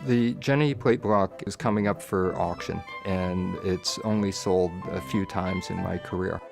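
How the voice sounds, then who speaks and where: A man's voice: low-pitched, fairly even intonation, unhurried, vocal fry, music in the background. A man telling an anecdote in a documentary interview.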